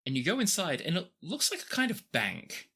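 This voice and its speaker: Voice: medium pitch, wildly swooping pitch, normal speed, pushed and bright, slightly rough. A game master narrating a tabletop adventure in a descriptive, conversational way.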